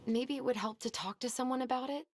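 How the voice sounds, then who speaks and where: An actress playing an earnest, frustrated, grieving young American adult in a video game drama, speaking plainly. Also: female voice, sits high, fast talker, echoey room, a little hiss or hum, lightly acted. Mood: gentle, firm.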